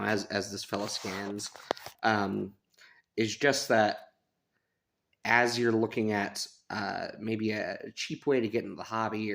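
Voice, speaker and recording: A man with a fairly low voice and a lot of creak gives a casual hands-on review. He talks in a chatty, rambling way with a self-deprecating streak, like a hobbyist reviewer. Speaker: radio reviewer. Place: sunroom at a house.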